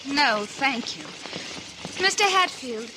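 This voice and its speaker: An actress playing a prim, reserved married gentlewoman, polite and cool, in an old-time radio western. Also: high-pitched, animated, slow. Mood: cool politeness. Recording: noisy old radio recording.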